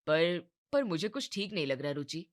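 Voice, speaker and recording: A worried young shopkeeper man played by a woman speaks in a lowish voice. He sounds hesitant and resigned, torn over his choices, in the dialogue style of an animated moral tale. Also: moderate pace. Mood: doubtful.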